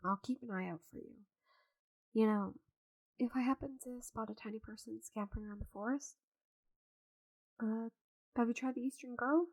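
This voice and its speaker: Woman playing friendly scatterbrained witch, gentle n theatrical, chatty asmr roleplay, very dry close sound. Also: fairly high pitch, normal speed, pauses often, no room sound, rough recording. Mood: nervous feigned innocence. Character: friendly, chatty, slightly scatterbrained forest witch, giantess to the shrunken listener. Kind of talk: ASMR roleplay audio drama.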